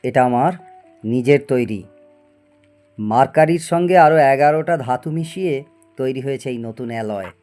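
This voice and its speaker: A man's voice: mid-range voice, normal speed, long pauses, darkish tone, soft background music, lightly acted. A man acting a proud, obsessive middle-aged scientist in a Bengali audio story, theatrical and prone to stammer when agitated. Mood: excited, explaining. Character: proud obsessive male scientist, boastful and stubborn.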